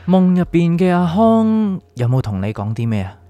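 An actor playing a calm, enigmatic doctor in a radio drama, speaking Hong Kong Cantonese in a measured, probing way. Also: male voice, a little high, no room sound. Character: calm, cryptic male doctor with a secret identity. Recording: music underneath.

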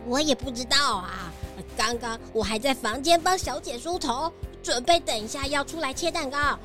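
Brief: anxious nanny, played by a woman, stammers, high pitch. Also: normal speed, natural voice. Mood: flustered. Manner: flustered, stammering. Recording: soft music underneath.

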